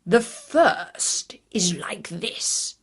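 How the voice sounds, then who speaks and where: A woman voicing a scornful, boastful male dragon with a slow, gloating, drawn-out delivery in a fairytale. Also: fairly low pitch, animated, vocal fry, husky, whispered, full character voice. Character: scornful, boastful male dragon who loves cunning riddles.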